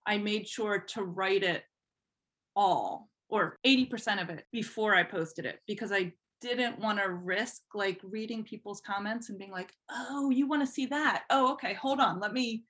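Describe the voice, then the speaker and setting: A woman's voice: medium pitch, moderate pace, fairly bright tone. A woman guest on a podcast, chatting informally and with some self-deprecation about her writing. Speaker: podcast guest, fan fiction writer. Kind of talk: casual interview chat.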